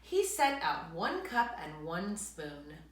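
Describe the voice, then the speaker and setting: Female children's read-aloud narrator: unhurried, very lively intonation, dramatic emphasis, room echo. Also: lowish voice, noisy background. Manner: dramatic emphasis, drawn-out words, hushed asides. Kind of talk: expressive children's story reading with asides to listeners. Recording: echoey room.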